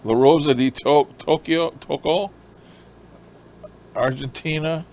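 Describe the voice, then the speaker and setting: A man's voice: fairly high pitch, steady tone, takes it slowly, darkish tone, lo-fi sound, a little hiss or hum. A man chatting casually in a folksy, rambling way as he explains his hobby. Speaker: shortwave radio hobbyist presenter.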